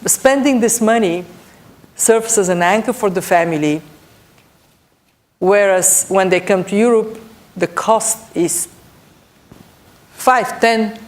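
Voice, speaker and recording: Middle-aged Bulgarian-accented woman speaking deliberately. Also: mid-range voice. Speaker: panelist, European budget official. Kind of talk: panel discussion remarks.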